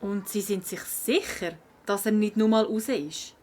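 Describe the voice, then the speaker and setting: A woman playing a spirited, curious schoolgirl detective in a children's audio play, lively and inquisitive, with a Swiss German accent. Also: mid-range voice, a little slow, close to an everyday voice. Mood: probing.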